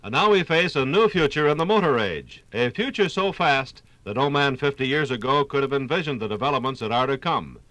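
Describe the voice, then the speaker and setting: Dramatic, forceful male vintage radio narrator. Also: medium pitch, animated, brisk, few pauses, dry recording. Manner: dramatic, oratorical. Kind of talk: 1950s scripted radio documentary narration. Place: radio studio.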